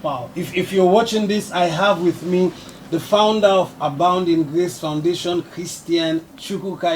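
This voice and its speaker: A male interviewer with a Nigerian accent asks questions in a halting way, repeating words before he gets going. His voice sits fairly high, with faint hiss in the background. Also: a little mellow. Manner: halting, repeats words when starting sentences. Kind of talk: interview questions mixing English and Pidgin.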